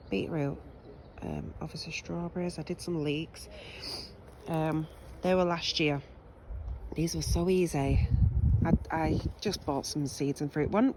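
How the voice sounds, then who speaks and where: A woman's voice: lowish voice, noisy background. A woman with a cold, chatting casually and a bit scattily as she walks around her allotment. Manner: chatty, scattered, with many ums and restarts. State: sniffly from a cold. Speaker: allotment gardener giving a tour. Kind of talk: casual walking tour vlog. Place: outdoors on an allotment.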